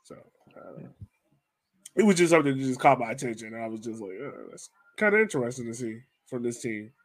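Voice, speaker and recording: A man's voice: mid-range voice, animated, a little fast. A man talking casually as a soccer podcast host, informal and thinking aloud as he goes. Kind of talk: casual soccer post-match discussion.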